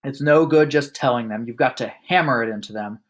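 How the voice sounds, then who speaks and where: A man voicing a loud, bullying female headmistress who barks and sneers. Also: mid-range voice, a little fast, lightly acted. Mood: smug lecturing. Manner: barking, scornful.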